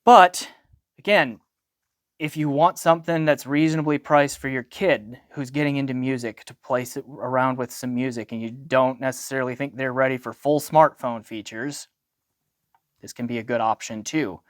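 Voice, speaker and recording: A man's voice: mid-range voice, clear, resonant tone, no room sound, clean studio sound. A middle-aged man giving an unscripted product review in a conversational way, thinking aloud and posing questions to himself. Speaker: audio gear reviewer.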